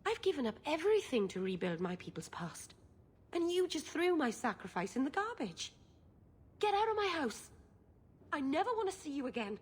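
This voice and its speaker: A woman's voice: sits high, sing-song, moderate pace. A woman playing an earnest, stubborn and sensitive young elf mage in a video game. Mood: angry, hurt. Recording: long hall-like reverb.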